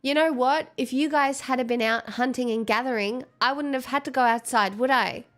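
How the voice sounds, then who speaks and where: A woman's voice: high-pitched, normal speed, a bit bright. A young adult woman streaming, chatting casually with viewers, stuffed up with a cold and giggly, self-mocking.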